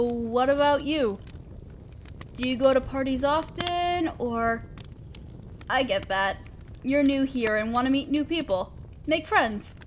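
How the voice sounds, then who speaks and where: A high, unhurried young female voice with noticeable background ambience behind it. A woman acts out a shy, kind neighbor in a roleplay audio drama, hesitant and awkward, rambling a little as she talks one-on-one. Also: slight background noise. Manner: hesitant, soft-spoken, rambling, self-deprecating. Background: outdoor fire ambience.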